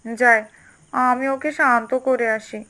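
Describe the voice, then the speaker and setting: A woman's voice: fairly high pitch, steady tone, takes it slowly, bright, forceful tone, a little hiss or hum. A woman voicing a patient young boy's mother in a cartoon, reassuring and coaxing. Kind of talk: cartoon voice acting. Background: background music.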